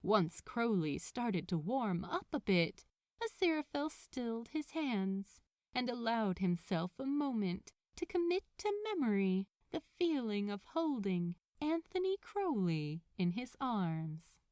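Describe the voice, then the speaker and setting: A woman's voice: fairly high pitch, very animated, a little slow, darkish tone, dry recording, studio quality. A woman reading fiction aloud as a scripted story narrator. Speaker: podfic narrator.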